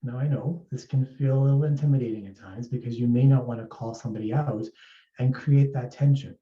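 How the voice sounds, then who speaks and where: A man from East Africa giving a measured, explanatory workplace presentation as an HR speaker. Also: medium pitch. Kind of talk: presentation talk. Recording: roomy, distant, dull sound.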